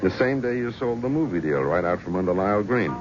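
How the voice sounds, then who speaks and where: A man's voice: on the low side, expressive, fast talker, close to an everyday voice. An actor playing a worldly friend who teases and reassures a frightened man in an old radio drama. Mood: wry. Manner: wry, reassuring, prodding. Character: skeptical, worldly male friend. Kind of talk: 1970s radio drama acting. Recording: dull old radio broadcast with music.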